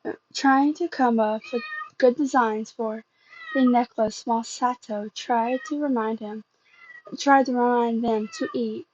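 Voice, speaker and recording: Woman w high voice reading fanfic slowly, keeps stumbling n repeating bits, kinda muffled lofi recording. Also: fairly even intonation, a little mellow, a little hiss or hum. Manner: halting, stumbles and repeats phrases. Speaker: amateur fan fiction reader. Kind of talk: reading fan fiction aloud.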